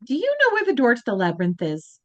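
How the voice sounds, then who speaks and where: A woman's voice: a little high, wildly swooping pitch, quick pace, lo-fi sound. A woman voicing a determined, impatient teenage girl who answers sharply. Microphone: video call.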